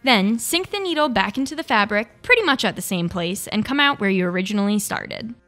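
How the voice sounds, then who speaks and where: A woman's voice: mid-range voice, animated, fairly quick, a bit bright. A young woman hosting a craft tutorial, reading scripted step-by-step instructions. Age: young adult. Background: background music.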